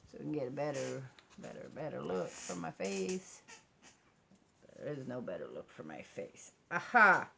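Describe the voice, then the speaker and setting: An American woman with a low-pitched voice talks casually to her vlog audience. She is chatty and self-deprecating, drifting between asides. The home recording carries faint background noise. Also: creaky. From United States. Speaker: vlogger and crafter. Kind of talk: casual rambling vlog to camera. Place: indoors at a craft table.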